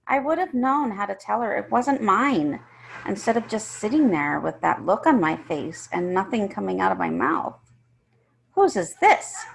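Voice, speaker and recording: Female storyteller, mid-pitched and gravelly, reading a children's first-person tale with expressive emphasis. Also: moderate pace, a little hiss or hum. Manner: emphatic, acts out the characters.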